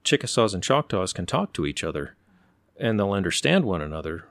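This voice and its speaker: A middle-aged man from the United States, telling stories in an unhurried, thoughtful way and pausing to find his words. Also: low voice, very animated, a bit bright, no echo. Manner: unhurried, thoughtful, often pauses to search for words. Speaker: interview guest. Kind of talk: relaxed conversational storytelling.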